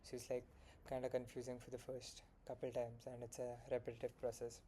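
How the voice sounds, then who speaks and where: A man's voice: medium pitch, monotone, fairly quick, dark tone, a little hiss or hum. A young man with an Indian accent talking through his day in a frank, rambling late-night vlog, full of fillers and self-corrections. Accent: Indian English. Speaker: solo founder vlogging his progress.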